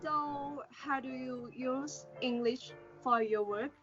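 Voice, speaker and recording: A young woman, a student interviewer asking prepared questions in halting, English. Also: sits high, muffled recording. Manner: non-native, halting English phrasing. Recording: music playing over the voice.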